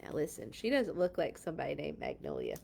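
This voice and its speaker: A woman reading a children's storybook aloud in a playful, expressive way, with casual chatty asides. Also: deep voice, quick pace, creaky. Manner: playful, expressive, exclaims and comments while reading.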